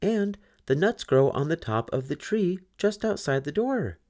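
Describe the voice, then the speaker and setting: A man's voice: fairly high pitch, big pitch swings, unhurried, darkish tone, voice pushed up. A man voicing a clever, sweetly cunning young girl, the eldest sister, in a coaxing sing-song way.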